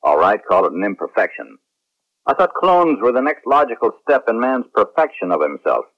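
An actor playing a proud, suspicious, challenging space captain in a late-1970s radio drama. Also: male voice, fairly low pitch, sing-song, fairly quick. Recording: muffled, lo-fi vintage radio recording.

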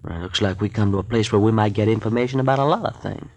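An actor playing a folksy, excitable young male sidekick in a 1940s radio drama, old broadcast recording. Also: lowish voice, rapid-fire, no echo. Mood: eager.

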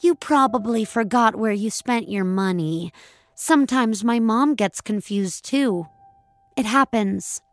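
A woman's voice: fairly high pitch, measured pace, dry recording, lightly acted. A woman voicing a policewoman in narrated audio fiction, overly polite and patronizing. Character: policewoman, teasing and condescendingly sympathetic.

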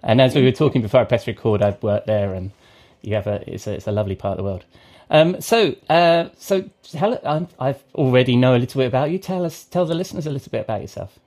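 A man's voice: medium pitch, expressive, fast talker, a little mellow. A middle-aged man hosting a podcast in a chatty, conversational style. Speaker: podcast host.

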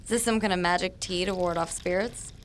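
A woman's voice: fairly low pitch, brisk, slight background noise, natural voice. An actress playing a polite, reassuring woman investigator, speaking in a natural, everyday way in an audio drama. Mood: curious, careful. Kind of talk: naturalistic audio fiction acting.

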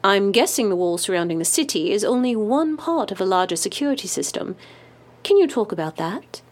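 A woman's voice: mid-range voice, animated, fairly quick, lightly acted. A woman playing a polished news interviewer in an audio drama, articulate, formal and insistent in her questioning. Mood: pointed, composed.

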